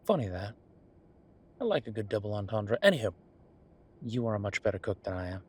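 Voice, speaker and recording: A man playing an affectionate, teasing chef boyfriend in an intimate roleplay audio, talking in a conversational, reassuring way with a husky voice. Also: on the low side, very animated, fairly quick, a little mellow. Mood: fond, playful. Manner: conversational, reassuring, playfully bantering. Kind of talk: intimate one-sided boyfriend roleplay acting.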